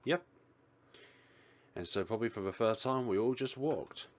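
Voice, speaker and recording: A man's voice: on the low side, normal speed. A man reading a visual novel aloud as narration for a let's play, now and then stumbling over a line. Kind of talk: expressive read-aloud narration. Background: game music.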